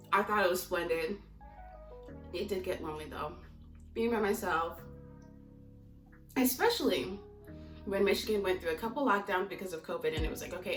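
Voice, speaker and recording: A young American woman giving a casual, candid vlog talk to her viewers, chatty and rambling as she goes. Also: on the low side. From United States (Maryland), teens in Mozambique. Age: young adult. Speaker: vlogger. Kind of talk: casual chat to camera. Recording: echoey room, distant mic, music behind.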